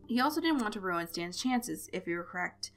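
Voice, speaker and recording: A woman's voice: on the low side, animated, a little fast, a bit bright. Woman narrating a story over music. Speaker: fan fiction narrator. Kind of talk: expressive scripted story narration. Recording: music behind the voice. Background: instrumental music.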